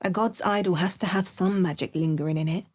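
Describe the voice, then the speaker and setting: A woman's voice: lowish voice, fairly quick, darkish tone, natural voice. A woman voicing a wry British adventurer. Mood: dry. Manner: dry, wry and matter-of-fact. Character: female adventurer hero, dry and wry. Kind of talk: video game voice lines. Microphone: close studio mic.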